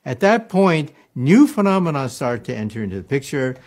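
An older man who explains with a lively voice that rises and falls. His delivery is emphatic and lecturing, and he slows down to stress key points. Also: mid-range voice. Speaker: physicist interviewee. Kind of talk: explanatory talk.

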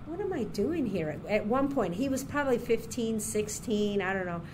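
A woman's voice: mid-range voice, normal speed. A middle-aged woman from Puerto Rico who grew up near Chicago, answering questions in an oral history interview, talking unhurriedly with many ums and restarts. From Puerto Rico, raised near Chicago. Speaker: interviewee, university diversity director. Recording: steady background noise.

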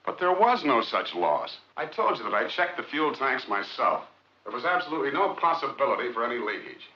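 An actor playing a blunt, guarded male rocket scientist, curt and measured in 1950s film style. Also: mid-range voice, big pitch swings, bright, forceful tone. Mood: defiant. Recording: dull, muffled 1950s film soundtrack.

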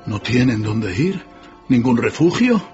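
An actor playing a frightened, repentant old miser in a radio drama, earnest and hesitant. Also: male voice, mid-range voice, big pitch swings, measured pace. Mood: troubled. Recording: dull radio recording, music behind.

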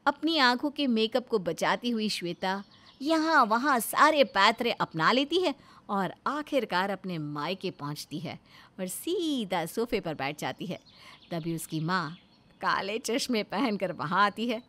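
A woman's voice: medium pitch, lively intonation, unhurried, bright, forceful tone. A woman narrating a scripted story as its storyteller.

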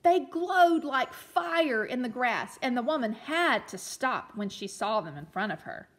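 A woman reading a storybook aloud to young children as a teacher, expressively, pausing to explain and ask questions. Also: a little high, lively intonation. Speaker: elementary teacher reading a picture book aloud.

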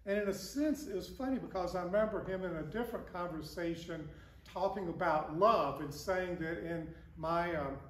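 A man's voice: sits high, moderate pace. An older man, a priest, reading the gospel and giving a conversational sermon with broad gestures, recorded by a far camera in a large wooden church. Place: large wooden church with stained glass, indoors. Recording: echoey church from a distance.

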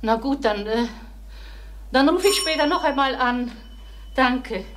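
Anxious wife, highish voice, noisy recording. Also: female voice, lively intonation, a little slow. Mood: anxious, pleading. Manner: apologetic, pleading, hesitant. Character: anxious, worried wife at her wits' end. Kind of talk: German crime radio play acting. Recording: noisy, clicking old radio recording.

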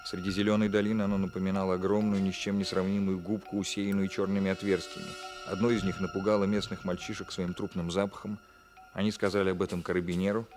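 Male actor as sober investigator narrating a radio drama, deep voice, quick delivery. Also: flat delivery, lightly acted. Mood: grave, reflective. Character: grave male investigator recounting a grim case. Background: music.

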